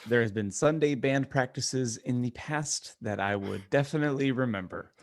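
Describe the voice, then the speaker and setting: A man with a mid-pitched voice chats casually as a podcast co-host. His phrasing is loose and halting, and the sound is dry, with very little room. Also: dry recording. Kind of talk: casual chat.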